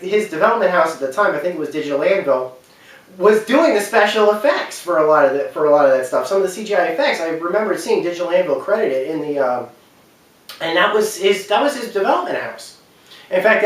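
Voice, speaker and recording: A man's voice: a little high, fairly bright tone. A man giving an unscripted, rambling opinion rant, repeating his points for emphasis. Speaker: online video commentator. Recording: echoey room, distant from the mic.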